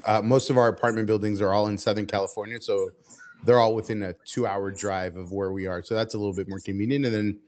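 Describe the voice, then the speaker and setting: A man who grew up in the United States speaking Hebrew first, answering interview questions at length in a measured, steady way with frequent "uh" fillers. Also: lowish voice. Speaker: interview guest.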